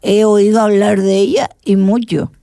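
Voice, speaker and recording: A woman's voice: mid-range voice, steady tone, normal speed, vocal fry, mellow, no room sound, studio quality. An older woman hosting a radio talk show, teasing and chatting playfully with her guest and co-host. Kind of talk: joking radio chat.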